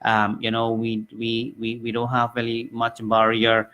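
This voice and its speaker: A man's voice: mid-range voice, completely flat delivery, unhurried, pushed and bright, lo-fi sound. A man from Guyana hosting a live community radio talk show, speaking earnestly and loosely, with many fillers and restarts.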